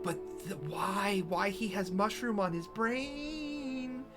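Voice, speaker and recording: A man giving casual playthrough commentary, incredulous and joking, often halting as he types. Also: sits high, music in the background. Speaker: streamer playing an AI storybook game.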